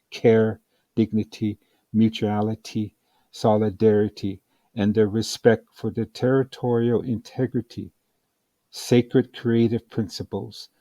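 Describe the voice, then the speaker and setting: A man reading an advocacy audiobook as scripted narration, with measured, deliberate and solemn phrasing. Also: fairly low pitch, warm tone, dry recording. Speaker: audiobook reader.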